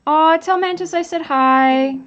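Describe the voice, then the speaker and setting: A woman's voice: sits high, a little slow, a bit bright. A woman hosting a live stream, chatting casually and reading out and answering the chat. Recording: dull lo-fi sound.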